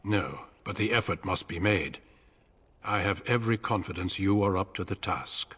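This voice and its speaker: An actor playing a grave, composed commander of an ancient order in a video game, speaking formally and with measured authority. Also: male voice, deep voice, a bit bright, breathy edge, big-room sound.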